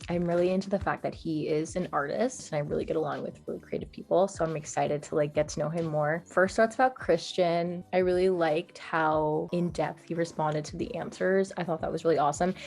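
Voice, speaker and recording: A young woman speaks casually with plenty of enthusiasm. Her voice sits a bit low and has a heavy vocal fry, with faint music in the background. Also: fairly even intonation, brisk, few pauses, a little mellow. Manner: enthusiastic, gushing. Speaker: dating show host and dater. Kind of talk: casual chat. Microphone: video call.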